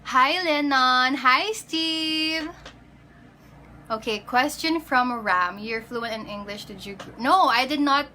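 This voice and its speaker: Bright-voiced Filipino woman chatting on a livestream. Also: high voice, slow pace, a little hiss or hum. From Philippines. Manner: chatty, greets viewers by name, mixes in Tagalog. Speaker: pageant candidate. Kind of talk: casual livestream chat.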